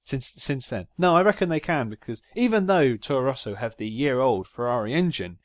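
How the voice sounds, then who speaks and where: A man's voice: medium pitch, animated, brisk, no echo, studio quality. A man co-hosting a casual podcast about motor racing, joking and laughing often. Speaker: podcast co-host.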